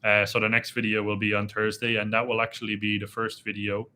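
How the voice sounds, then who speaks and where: A young man with a slight Irish accent, chatting casually on a live stream and reading viewer comments aloud in a relaxed, rambling way. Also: on the low side, brisk, a little hiss or hum. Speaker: travel vlogger hosting a live Q&A. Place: indoors in a dim room.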